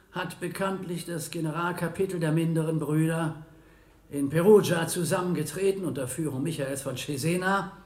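An actor playing a ceremonious, long-winded abbot addressing an assembly in a radio play, in an echoing hall. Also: male voice, high-pitched. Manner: pompous, formal.

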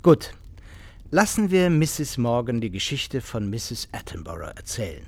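An overbearing husband and host in a radio play, needling and quick to take offence. His pitch moves widely as he insists on his point. The sound is dry and close. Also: big pitch swings, dry recording. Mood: sardonic, insistent. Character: overbearing, sarcastic middle-class husband and host, easily offended. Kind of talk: German radio play acting.

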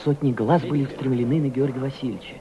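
An actor playing an earnest member of a Soviet delegation, narrating his memories with deliberate, dramatic phrasing in a radio drama. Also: medium pitch, steady tone, over a noisy crowd, natural voice. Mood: earnest, intent. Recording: dull old recording with background ambience.

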